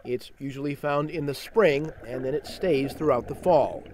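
A man's voice: on the high side, moderate pace, a little mellow, a little hiss or hum. Man narrating a science segment. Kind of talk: scripted narration.

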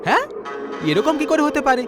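A man's voice: very high voice, very animated, brisk, music in the background, pitched above the performer's own voice. A man narrating a story, putting on the voice of a puzzled male electrician who answers offhand. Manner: offhand, puzzled, talking back.

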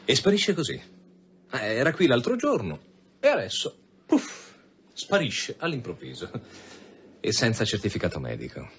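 An actor playing a sardonic, insistent police commissioner in an Italian radio drama, with sarcastic repetitions and veiled threats. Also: male voice, mid-range voice, huge pitch swings, moderate pace, pauses often, fairly bright tone. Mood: sardonic, menacing. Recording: dull sound with faint background noise.

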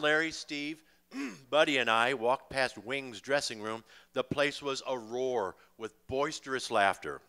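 An older American man reads his own stories into a stage mic. His voice is forceful and bright at an ordinary male pitch, and he tells it expressively, letting pauses land. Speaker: author and musician reading from his memoir. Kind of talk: live book reading to an audience. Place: stage in a small dim music venue. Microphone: vocal mic on a boom stand, close.

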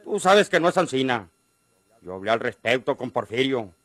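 An actor playing an insistent, wheedling rustic schemer in a Mexican radio drama, with a northern Mexican accent and a folksy, coaxing manner. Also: male voice, medium pitch, big pitch swings, moderate pace, fairly bright tone, no room sound.

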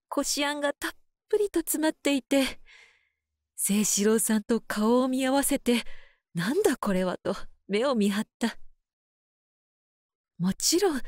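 A woman playing a frail, gentle elderly mother in a voice drama, speaking softly and tenderly as she reminisces. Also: on the high side, no room sound, studio quality, natural voice. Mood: fond, wistful. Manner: soft, tender, unhurried. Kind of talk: voice drama acting and first-person narration.

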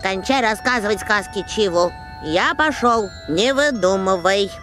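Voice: mid-range voice, lively intonation, measured pace, music in the background, natural voice. An actor voicing a skeptical, dismissive young male lemur in a children's cartoon, with a dry manner. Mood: scoffing.

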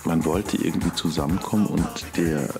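Man, very low voice, measured reflective talk, electronic music behind. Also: creaky. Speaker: interviewee, techno scene witness.

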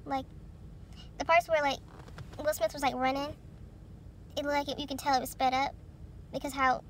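A woman's voice: high voice, flat delivery, moderate pace, a little hiss or hum. Chatty young woman vlogging from a car. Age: young adult. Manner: chatty, rambling, many fillers and restarts. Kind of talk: casual vlog chat. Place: parked car. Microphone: phone camera held close.

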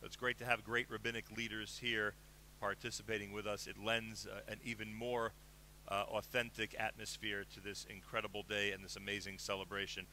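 A middle-aged man hosting a live radio show, enthusiastic and animated, speaking into a headset mic in a broadcast booth. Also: lowish voice, a little fast, slight background noise. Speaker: radio host.

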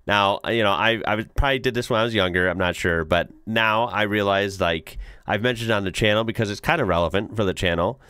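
A relaxed American man in his thirties chats through his reactions, with loose asides and easy chuckles. His voice is low and smooth, and it sits close and dry on the mic in a small home studio. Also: fast talker, hardly any pauses, no room sound. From United States. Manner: easygoing, chuckling, talks in loose run-on asides. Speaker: reaction channel host. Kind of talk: casual reaction commentary. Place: small home studio room with decorated walls. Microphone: close studio mic with headset.

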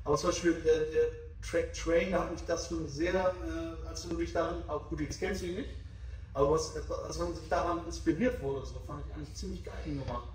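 A man's voice: on the high side, fairly even intonation, fairly quick, far from the mic, slight background noise. A young man interviewing a DJ, asking long, rambling questions full of fillers. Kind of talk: casual interview. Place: small backstage room with sofa, indoors. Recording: echoey room, voice off-mic.

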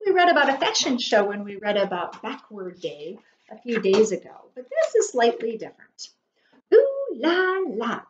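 Female preschool teacher, high and dramatic picture-book delivery, explains words simply; slightly noisy home webcam recording. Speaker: preschool teacher reading aloud. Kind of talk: expressive picture-book read-aloud for young children. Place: indoor room at home. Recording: dull webcam audio with faint noise.

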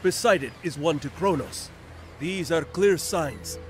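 An actor playing a proud, zealous leader of exiles in a video game, earnest and urgent in a declamatory way. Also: male voice, on the high side, expressive, measured pace, soft background music. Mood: earnest conviction. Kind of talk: dramatic video game cutscene acting.

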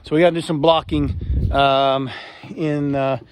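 A man's voice: fairly high pitch, little pitch movement, unhurried, clean tone. A man in his forties with a Southern US accent, vlogging casually from his car and a building site, rambling with lots of fillers. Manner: folksy, rambling with many fillers. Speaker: homestead vlogger. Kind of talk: casual vlog talk to camera. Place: in a moving car, then outdoors at a framed cabin site. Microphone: phone or camera held at arm's length. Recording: loud wind and road noise.

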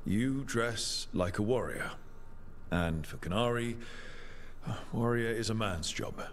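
Calm party leader, lowish pitch, caring, game dialogue, faint background noise. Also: male voice, takes it slowly. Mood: concerned, calm. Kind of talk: video game character dialogue.

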